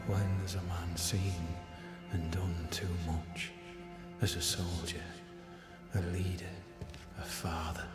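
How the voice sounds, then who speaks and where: A man's voice: low voice, flat delivery, takes it slowly, loud music behind the voice. A man playing a proud, self-righteous military captain in a game cutscene, with a solemn, theatrical delivery. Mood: weary, sombre. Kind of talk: dramatic game cutscene acting.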